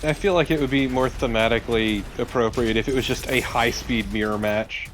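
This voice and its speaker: A man's voice: mid-range voice, fairly even intonation, fairly quick, a bit bright, slight background noise. A man talking casually and bluntly as co-commentator on a video game let's play. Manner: blunt, informal.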